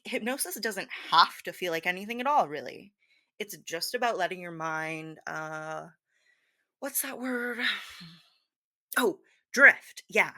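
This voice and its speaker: Bright, ditzy female hypnosis teacher, acted by a woman. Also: medium pitch, heavily acted. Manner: scattered, hesitant, girlish filler-laden talk with gasps and giggles. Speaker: hypnosis performer playing a teacher. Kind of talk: soft scripted hypnosis roleplay in casual teacher talk.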